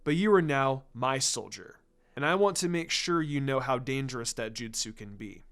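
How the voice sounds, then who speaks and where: A man voicing a serious adult ninja mentor in a fan fiction reading, calm, stern and deliberate as he explains. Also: medium pitch, clean tone, pitched below the performer's own voice.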